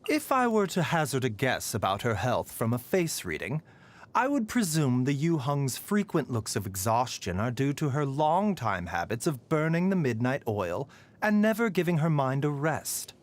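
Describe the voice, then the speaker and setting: An actor playing a polite, gently wry and weary pharmacist in a video game, with measured, mildly teasing phrasing. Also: male voice, mid-range voice, sing-song, close to an everyday voice. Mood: measured, courteous. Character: soft-spoken, courteous male pharmacist, gently wry and weary. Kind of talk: video game character voicelines. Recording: voice over background music.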